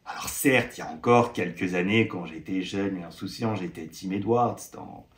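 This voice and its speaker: A young man talks casually about new books, reading summaries and breaking off into playful, exaggerated asides. His voice sits fairly low. The room around him is noticeably echoey. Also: moderate pace. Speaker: book vlogger. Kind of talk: casual book review, reading blurbs aloud.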